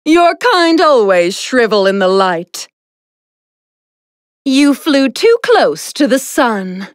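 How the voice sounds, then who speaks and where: A vain young goddess from a video game, high-pitched and very slow, savoring her condescension. Her pompous, theatrical delivery swings widely in pitch, imperious yet playful. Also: very animated, dry recording, clean studio sound. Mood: haughty, smug. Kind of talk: video game character voice lines.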